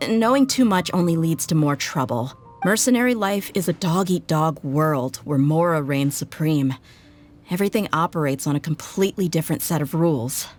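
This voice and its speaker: A woman's voice: deep voice, lively intonation, normal speed, faint music behind. A woman voicing a tough young female desert mercenary who talks in a blunt, wry, plain-spoken way, in game voice acting.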